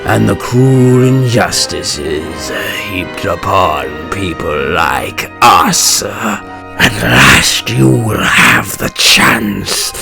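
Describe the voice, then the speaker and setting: A menacing, vengeful villain from a comic dub, with a gravelly voice that swings widely in pitch. The delivery is theatrical and deliberate, like someone savoring fear, over a prominent orchestral score. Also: male voice, animated, over music, full character voice. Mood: coaxing, menacing. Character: bitter, menacing male supervillain, obsessed with fear and revenge. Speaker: voice actor. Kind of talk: dramatic comic dub narration and acting.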